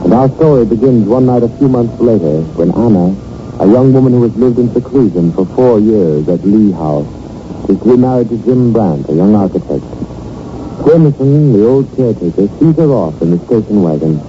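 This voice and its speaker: Low-voiced male narrator, noisy vintage radio. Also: animated, moderate pace. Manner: grave, dramatic storytelling. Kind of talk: 1940s radio scripted narration. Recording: noisy, muffled vintage 1940s radio recording.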